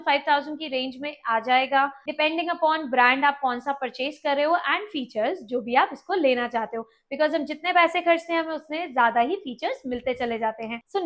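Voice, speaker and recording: Lady doing an explainer video, high voice, goes step by step, throws in english words. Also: a bit bright. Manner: instructive, step-by-step, mixes in English terms. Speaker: video presenter. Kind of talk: explainer tutorial to camera.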